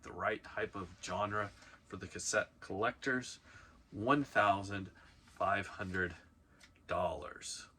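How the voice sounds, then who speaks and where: A man with a fairly low, bright and forceful voice presents a countdown like a video host. He talks informally and leans hard on key items. There is some noise in the background of the recording. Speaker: host of a reselling video channel. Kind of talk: casual countdown commentary to camera.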